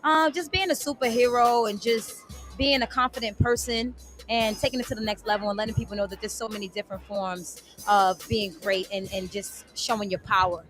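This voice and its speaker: Bright, self-assured woman boasting. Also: mid-range voice, fairly even intonation, brisk, soft background music. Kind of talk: on-camera interview.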